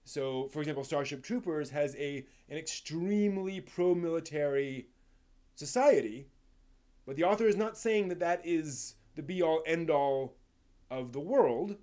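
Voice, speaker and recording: A man's voice: fairly high pitch, animated, dark tone. A man hosting a live stream, talking casually off the cuff to viewers, often rephrasing as he thinks aloud. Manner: halting, thinking aloud, rephrasing mid-sentence.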